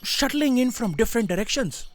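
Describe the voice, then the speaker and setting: A woman's voice: a little high, lively intonation, a little fast, lightly acted. A woman playing a fussy, philosophical assistant in a comic audio drama, pedantic and explanatory. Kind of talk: audio drama comedy acting.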